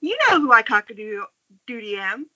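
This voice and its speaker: A woman acting out a high-pitched, piercingly bright female character in an amateur script read. The sound is dull and lo-fi, like an internet call. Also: expressive, normal speed. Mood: folksy scolding. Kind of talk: amateur table read of a screenplay.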